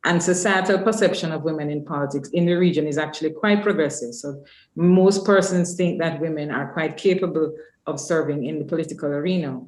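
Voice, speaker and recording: A woman giving a semi-formal introduction as a webinar host, measured and halting, with pauses mid-phrase. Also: low voice, darkish tone. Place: indoors on an online webinar call. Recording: roomy, echoey webinar audio.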